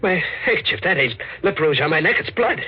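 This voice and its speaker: An actor playing a tough but frightened escaped convict in a 1940s radio mystery, slangy and prone to stammering. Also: male voice, fairly high pitch, sing-song, a little fast, husky. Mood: panicked. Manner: hard-boiled slangy talk, stammering when rattled. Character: tough, frightened male escaped convict narrating his nightmare. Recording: dull old radio recording, loud music behind.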